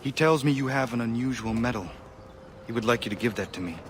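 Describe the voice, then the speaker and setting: An actor playing a grave, determined young warrior in a video game, speaking tersely and formally. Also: male voice, medium pitch, fast talker, a little hiss or hum, lightly acted. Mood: firm. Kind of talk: dramatic video game acting.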